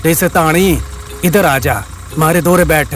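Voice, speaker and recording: A man's voice: fairly high pitch, measured pace, fairly bright tone, soft background music, pitched above the performer's own voice. A man voicing a bossy, disapproving rustic elder with a Haryanvi accent in a Hindi audio drama. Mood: gruff, commanding. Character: bossy, disapproving rustic old father-in-law.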